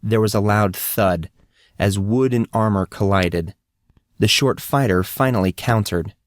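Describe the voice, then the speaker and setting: A man's voice: fairly low pitch, unhurried. A man narrating a scripted fantasy story as an audiobook narrator.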